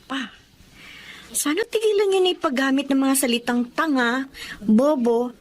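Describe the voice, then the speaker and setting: A woman's voice: sits high, normal speed, slight background noise, close to an everyday voice. A woman playing a caring, exasperated young career woman in a radio drama, with a Filipino accent and an earnest, emotional delivery. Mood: pleading, protective. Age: young adult.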